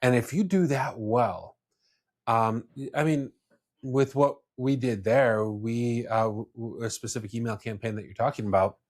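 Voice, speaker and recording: A man's voice: mid-range voice, steady tone, moderate pace. A man speaking casually as a podcast host, explaining his views with restarts and asides.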